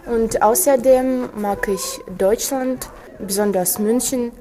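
Mellow 19-year-old woman. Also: fairly high pitch, takes it slowly, low-quality recording. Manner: plain, searching for words. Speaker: language student. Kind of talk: self-introduction.

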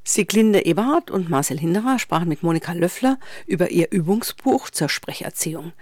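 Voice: medium pitch, expressive, a little fast, a little mellow, dry recording. A radio announcer with a mid-range voice reads a short scripted segue between segments in a neutral tone. The recording is dry, with no room sound.

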